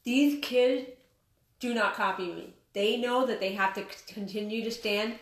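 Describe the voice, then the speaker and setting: A woman's voice: a little high, unhurried, far from the mic. A woman in her late thirties who teaches martial arts, telling her story in an earnest, candid way as an interview guest. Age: 30s. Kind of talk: interview chat. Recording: roomy sound, voice off-mic.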